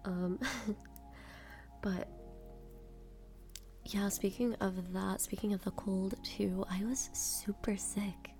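A young woman chatting casually in a soft whisper, with a slightly congested nose. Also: medium pitch, flat delivery, extremely slow, long pauses, soft background music. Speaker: podcast-style rambler.

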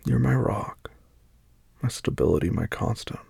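Man, soft-spoken, whispery, reassuring partner, breathy rasp. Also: on the low side, lively intonation, normal speed, no echo. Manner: tender, reassuring, often whispering. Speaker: boyfriend speaking to an unseen listener. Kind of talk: intimate one-sided roleplay, soft-spoken.

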